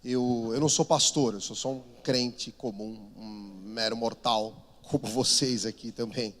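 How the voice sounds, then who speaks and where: A man's voice: mid-range voice, steady tone, measured pace, a bit bright. A man in his thirties from São Paulo with a Paulista accent, a missionary preaching in a chatty, self-deprecating way. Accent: Paulista Brazilian Portuguese. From São Paulo, Brazil. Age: 30s. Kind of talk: conversational sermon storytelling. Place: large church hall. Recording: long reverb of a church hall.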